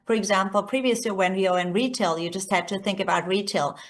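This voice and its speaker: A woman from Austria with an Austrian accent, a native German speaker, giving a semi-scripted conference keynote as a research executive. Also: mid-range voice. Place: remote presentation by screen share.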